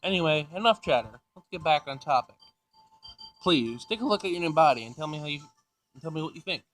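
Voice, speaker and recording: A man's voice: fairly high pitch, lively intonation, fairly quick, fairly bright tone. A man voicing an exasperated, defensive older male professor in a visual novel, casual and grumbling. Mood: businesslike. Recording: music behind the voice.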